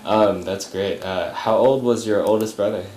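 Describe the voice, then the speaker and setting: A male interviewer with a low-pitched voice puts simple questions haltingly, often pausing on fillers. The room is clearly echoey, with a little background noise. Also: darkish tone. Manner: halting, hesitant, heavy on um and uh. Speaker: oral history interviewer.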